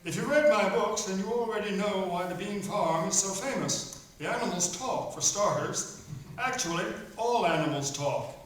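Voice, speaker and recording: A man's voice: high-pitched, normal speed, fairly bright tone. A man playing a genial author who narrates his animal stories in a scripted radio play. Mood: genial explaining. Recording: echoey hall, distant, faint noise.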